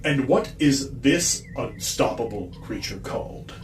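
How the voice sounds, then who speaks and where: A man's voice: mid-range voice, expressive, measured pace, faint music behind, close to an everyday voice. A man acting an embittered alien villain leader in an audio drama, intense and commanding. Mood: bitter, vengeful.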